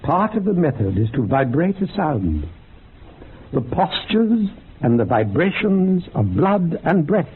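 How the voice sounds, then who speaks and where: A man's voice: on the high side, sing-song, unhurried, slightly rough, exaggerated voice. An actor in a radio horror drama playing a learned occult yoga teacher, solemn and incantatory as he lectures. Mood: solemn, rapt. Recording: narrow phone-like radio recording.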